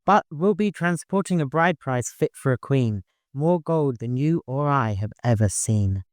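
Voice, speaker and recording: Male narrator as a shrewd commanding leader, mid-pitched and wry, for an audiobook adventure. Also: lively intonation, unhurried, dry recording, studio quality, lightly acted. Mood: commanding, rousing.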